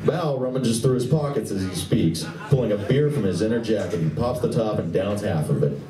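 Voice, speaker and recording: A man's voice: on the low side, expressive, a little fast, crowd noise. A man reading scripted narration for a live radio play. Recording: roomy, distant recording with faint noise.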